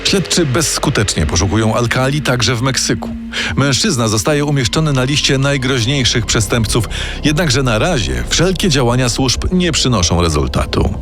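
A man narrating a scripted true-crime programme dramatically, with suspenseful storytelling and pointed pauses. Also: mid-range voice.

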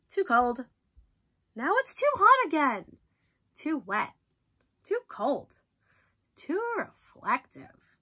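A woman's voice: high-pitched, pushed and bright, heavily acted. A woman voicing a stubborn, dramatic, whiny cartoon pigeon in a children's storybook read-aloud. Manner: whiny, exaggerated, protesting.

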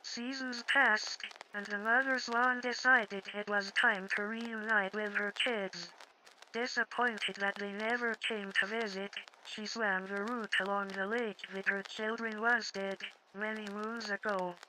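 A woman's voice: medium pitch, fairly even intonation, a little slow, bright, forceful tone, a little hiss or hum, low-quality recording. A woman reading scripted storybook narration as the narrator of a tale.

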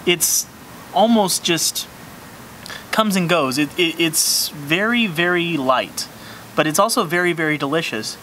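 A young man with a slightly high, bright voice talks through a beer tasting on a sunny patio. He is enthusiastic and descriptive, often repeating words as he searches for them. Faint outdoor sound sits behind him. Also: lively intonation, a little slow, a little hiss or hum. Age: young adult. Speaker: beer review host. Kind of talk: casual beer tasting review.

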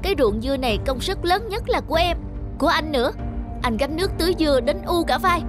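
A man plays a hot-headed younger brother in a cartoon folk tale. His voice is very high, strident and full of grievance, with music behind it. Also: animated, quick pace. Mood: aggrieved, protesting. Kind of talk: animated folk tale voice acting.

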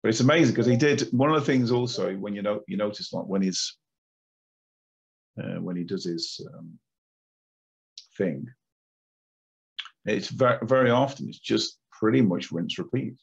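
A low, husky male voice with a gravelly edge, chatting in a loose, rambling way full of fillers. The sound is somewhat dull. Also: moderate pace, pauses often. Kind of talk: casual chat.

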